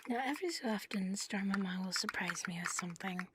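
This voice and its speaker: A woman hosting a live ASMR stream, chatting casually and playfully in a soft, breathy voice that often slips into a whisper. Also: medium pitch, fast, very noisy. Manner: playful, giggly, teasing. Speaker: ASMR livestream host.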